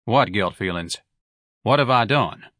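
A man voicing a weary, irritable middle-aged husband who answers in a flat, curt, low voice. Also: unhurried, no echo, studio quality.

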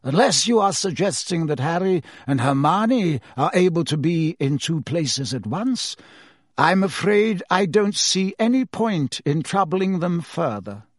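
A man voicing a calm, wise elderly male headmaster who speaks quietly and measuredly. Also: fairly high pitch, measured pace, dry recording, studio quality, voice pushed up.

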